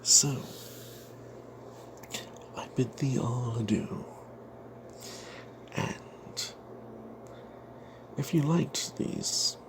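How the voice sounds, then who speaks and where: A man telling an off-the-cuff fantasy game story in ASMR style, breathy and half-whispered, halting, with playful old-fashioned flourishes. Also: medium pitch, background noise. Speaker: storyteller narrating a tabletop game tale.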